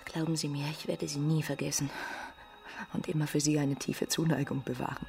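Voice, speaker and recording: A woman playing a desperate, jilted married romantic in a radio drama, intense and halting, often dropping to a whisper. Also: sits low, level tone, darkish tone. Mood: bitter anguish. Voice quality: creaky, breathy, often whispering.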